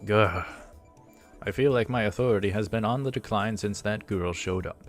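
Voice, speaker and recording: Guy doing a pompous young lab boss guy, low voice, boastful visual novel stuff, faint music. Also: normal speed, natural voice. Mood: indignant. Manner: pompous, grandiose. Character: boastful, theatrical young male lab leader. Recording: music behind the voice.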